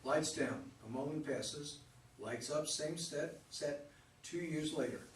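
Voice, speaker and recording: A man narrating stage directions at a play reading, plain and a little halting. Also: fairly high pitch. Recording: echoey room, distant mic, faint noise.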